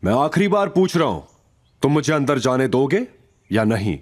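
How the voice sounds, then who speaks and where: Man playing a calm, self-assured challenger. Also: medium pitch, normal speed, voice pushed up. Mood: firm warning. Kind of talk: dramatized audio story acting. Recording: music behind.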